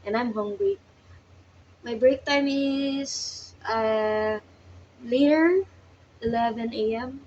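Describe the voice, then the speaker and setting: A woman's voice: a little high, expressive, slow, long pauses, a little mellow, muffled recording, a little hiss or hum. A young woman in her twenties with a Filipino accent, talking to herself in a casual vlog to practice English, hesitant and self-conscious, sleepy. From Philippines. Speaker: vlogger. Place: small workplace counter, indoors. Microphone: phone held nearby.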